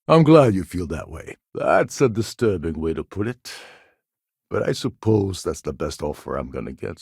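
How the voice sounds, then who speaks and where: Deep gruff space pirate for a video game, wry and world-weary, pitch swings around a lot. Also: male voice, no room sound, clean studio sound, heavily acted. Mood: sardonic, grudging. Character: gruff, cynical, self-serving male space pirate. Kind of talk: game character dialogue lines.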